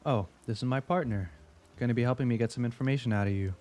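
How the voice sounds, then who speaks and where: A man's voice: mid-range voice, a little mellow, lightly acted. A man playing a smug veteran investigator in an audio drama, wry and probing as he interrogates. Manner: wry, needling, deliberate.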